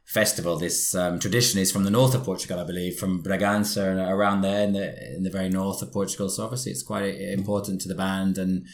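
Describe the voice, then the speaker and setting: A man's voice: low-pitched, fairly even intonation, quick pace, gravelly, few pauses, reverberant. A man with a slight Brazilian accent chatting enthusiastically as a podcast co-host, often restarting his sentences. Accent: Brazilian-tinged English. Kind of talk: casual chat.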